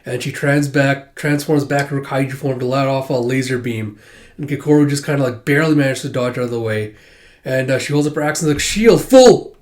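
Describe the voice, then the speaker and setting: A man with a cold and a sore, stuffy throat recapping a manga chapter casually, halting often with filler sounds. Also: medium pitch, steady tone. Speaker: manga reviewer.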